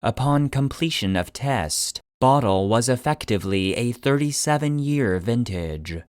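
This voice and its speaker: A man giving scripted narration in a measured, clinical manner, like a report being read out. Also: mid-range voice, lively intonation, darkish tone, no room sound, clean studio sound. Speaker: narrator and producer.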